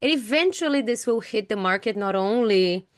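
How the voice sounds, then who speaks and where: A woman's voice: medium pitch, lively intonation, normal speed, no echo, studio quality. A teacher, a woman whose first language is Portuguese, speaking in a casual podcast interview, thoughtful and laughing lightly between points.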